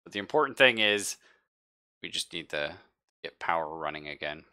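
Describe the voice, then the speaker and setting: A man running a tabletop roleplaying game, improvising narration in a halting, casual way and pausing to think mid-sentence. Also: low-pitched, animated, bright, forceful tone. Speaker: game master.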